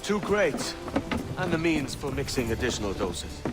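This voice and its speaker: A man's voice: mid-range voice, sing-song, normal speed, lightly acted. Man acting a blunt young pirate, music behind. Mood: cool. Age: young adult. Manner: blunt, direct. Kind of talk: video game cutscene acting.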